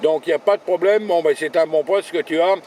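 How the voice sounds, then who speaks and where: A man with a slightly high voice makes casual ham radio contacts from a car, with faint vehicle noise around him. He rattles off call signs and jargon and often repeats his words. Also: fast, a little mellow. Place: inside a car, mobile radio station.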